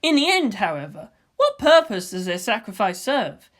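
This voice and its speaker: A woman voicing a calm, philosophical boy with firm conviction, speaking in measured, oratorical phrases for a fan fiction reading. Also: mid-range voice, lightly acted. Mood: composed. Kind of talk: fan fiction audiobook character voice.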